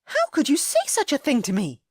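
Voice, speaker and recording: A woman's voice: high-pitched, wildly swooping pitch, brisk, no echo, clean studio sound, pitched above the performer's own voice, exaggerated voice. Woman voicing a proud, indignant young lady. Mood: angry. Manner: sharp, huffy retorts.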